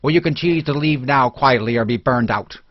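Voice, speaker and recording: A man playing a hostile, threatening village mob man in a fantasy audiobook, forceful and stern. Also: medium pitch, moderate pace, no echo, voice pushed up, close to an everyday voice. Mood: threatening, angry. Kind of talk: dramatic fantasy audiobook dialogue. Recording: dull lo-fi recording.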